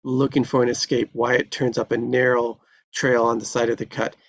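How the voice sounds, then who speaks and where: A man's voice: mid-range voice, level tone, moderate pace, vocal fry. A man reading stage directions as narrator at a table read, in a descriptive storytelling style, on a dull, slightly noisy recording. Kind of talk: scripted screenplay narration at a live table read. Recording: dull, lo-fi sound with faint noise.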